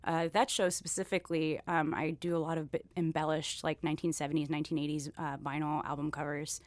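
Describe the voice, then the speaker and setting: A woman's voice: sits low, little pitch movement, fast talker, dry recording. A woman from Miami, a guest artist in a casual interview, rambling and restarting with many fillers. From Miami, USA.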